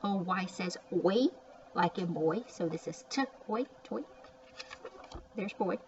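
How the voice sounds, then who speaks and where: Slow-paced woman teaching reading, distant muffled mic. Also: on the low side. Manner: sounds out and repeats words, chatty asides. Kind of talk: word-by-word phonics lesson for young children. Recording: distant mic, muffled, faint background noise.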